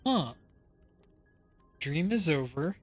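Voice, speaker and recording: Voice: mid-range voice, big pitch swings, very slow, husky, soft background music. A game streamer giving casual live commentary and reading aloud.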